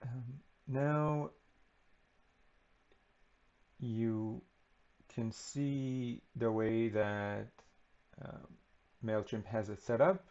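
A man talks through a software setup at a slow, easy pace, often pausing on "um" and "uh." His voice has a gravelly, creaky edge. The recording sounds a little muffled and lo-fi. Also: mid-range voice. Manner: relaxed, unhurried, many ums and uhs. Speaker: software tutorial presenter. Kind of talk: unscripted screen-recording walkthrough.

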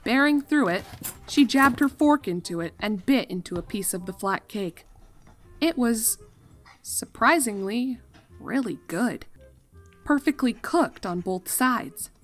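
A woman reading a fan fiction story aloud as an expressive narrator. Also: a little high, measured pace, faint music behind.

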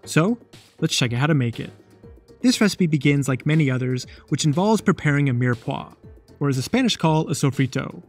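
A man's voice: a little high, expressive, brisk, soft background music. A man narrating a cooking lesson in a scripted voice-over with a playful, jokey tone. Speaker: cooking show host.

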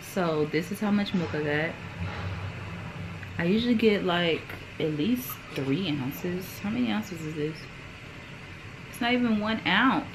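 A woman's voice: fairly low pitch, animated, a little slow, long pauses. Young American woman, noisy room. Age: young adult. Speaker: vlogger and stay-at-home mother. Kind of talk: casual chat to camera. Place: small bedroom at home. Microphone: camera at arm's length. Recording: clearly noisy room ambience.

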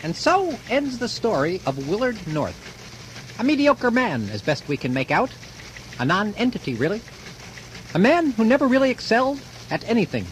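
A man's voice: high-pitched, huge pitch swings, normal speed, a little hiss or hum, poor audio. A man acting a solemn storyteller narrating a radio drama in a theatrical, rhetorical manner. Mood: musing, scornful.

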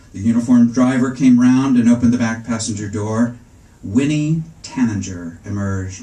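A middle-aged man giving a live literary reading, with a wry, arch and measured storytelling manner. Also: medium pitch, warm tone. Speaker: author reading at a book event. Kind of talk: live literary reading of first-person comic prose. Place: indoor bookstore reading before a seated audience. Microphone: stand microphone, recorded from the audience seats. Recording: echoey room, public reading in a bookstore.

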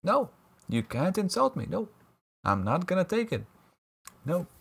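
Husky guy on a stream, laughing at chat, playful mock outrage. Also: high voice, wildly swooping pitch, measured pace. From Latvia. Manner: jokey, laughs often, mock-protesting at chat teasing. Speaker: livestream caster. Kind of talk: casual live-stream chat with viewers.

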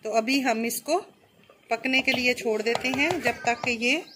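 A woman hosting a cooking channel, calmly explaining each step as she cooks at an outdoor stove. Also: on the high side, a little slow, noisy background.

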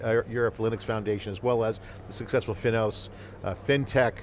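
A man's voice: fairly low pitch, steady tone, fairly quick, slight background noise. A man in his fifties hosting a live conference interview, talking in run-on, enthusiastic patter on a headset mic. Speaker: host. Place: convention hall floor.

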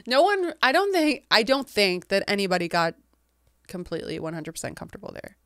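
A soft-spoken American woman in her thirties, a guest in a relaxed interview who laughs easily and lets her sentences trail off. Her voice is smooth and clear, at an ordinary pitch. It is recorded close and dry. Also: normal speed, dry recording. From England. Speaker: guest author. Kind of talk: casual interview chat.

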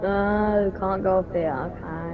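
A woman's voice: medium pitch, fairly even intonation, measured pace. A woman chatting casually while playing a game with friends and reading prompts aloud. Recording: muffled voice chat under loud game music.

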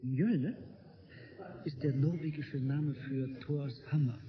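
An actor playing a bookish, idealistic male historian in a radio play, with a measured, gently condescending lecturing manner. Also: fairly high pitch. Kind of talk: German radio play dialogue. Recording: muffled, noisy old radio drama recording.

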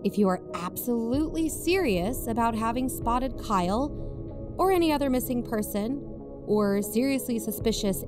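A woman acting as an earnest podcast investigator in a mystery audio drama. Her voice sits a little high, and music plays clearly behind her. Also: natural voice. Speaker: podcast host character and investigator. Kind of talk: audio drama narration and dialogue.

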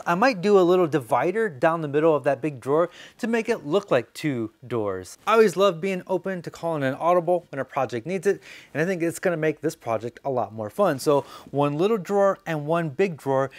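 A man's voice: a little high, no echo. A man in his forties with an American accent, hosting a woodworking tutorial in a casual, conversational way. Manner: informal, conversational. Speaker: woodworking host. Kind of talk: casual how-to tutorial narration. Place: indoor workshop studio.

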